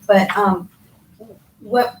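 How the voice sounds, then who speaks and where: A woman interviews a guest in a loose, chatty way, with a medium-pitched voice. She sounds a little away from the microphone in a reverberant room. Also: fairly even intonation, extremely slow. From born in Mexico, raised in the United States. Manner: reacts often with short exclamations. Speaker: show host, interviewer. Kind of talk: casual chat interview. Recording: roomy, distant mic, faint background noise.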